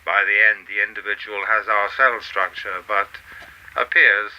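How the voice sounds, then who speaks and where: A man playing a cold, commanding alien in a radio play, speaking in a formal, measured, imperious way. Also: on the low side, fairly even intonation, sharp tone, dull audio. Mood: measured, ominous. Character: cold, commanding alien ruler secretly breeding with humans.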